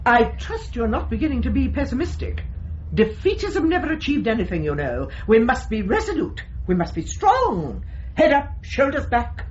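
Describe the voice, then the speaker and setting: Bossy dog trainer, swooping delivery. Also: female voice, fairly high pitch, big pitch swings, normal speed, a little mellow, dull audio, a little hiss or hum. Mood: rallying. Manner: emphatic, rallying, fond of "my dear". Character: brisk, bossy, encouraging widowed female dog trainer. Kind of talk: gently comic radio drama acting.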